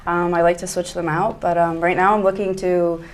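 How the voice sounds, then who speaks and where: A woman's voice: fairly low pitch, level tone, moderate pace. A woman in her twenties from Egypt, talking earnestly and enthusiastically as a guest in a casual talk show conversation. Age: 20s.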